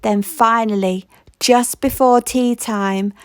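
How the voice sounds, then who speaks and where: A woman reading a children's picture book aloud, slow and expressive with a playful rhythm. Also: a little high, clear, resonant tone, dry recording, clean studio sound. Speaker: storybook reader.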